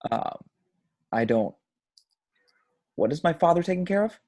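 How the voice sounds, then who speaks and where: A man's voice: a little high, expressive, measured pace, dark tone, no echo, clean studio sound. A man at a home table read playing a troubled young city man, hesitant and naturalistic. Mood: confused, subdued.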